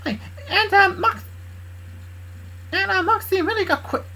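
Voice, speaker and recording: A woman's voice: unhurried, sharp tone, heavily acted. A woman voicing a defensive, resentful young woman who rambles and stumbles over her words. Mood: flustered. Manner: rambling, stumbling, high and strained. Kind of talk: improvised fan story voicing.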